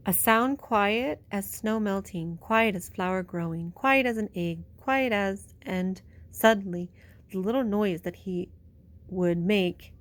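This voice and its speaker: A woman's voice: mid-range voice, slow pace. A woman with a mid-range voice reads a picture book aloud for young children. She tells it expressively, stretching out phrases in a bedtime-story style.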